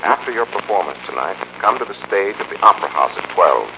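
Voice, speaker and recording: Deep, menacing avenger of old radio. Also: male voice, very animated, fast talker. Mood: sinister, controlled. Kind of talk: 1940s radio mystery acting. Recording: muffled, noisy 1940s radio recording.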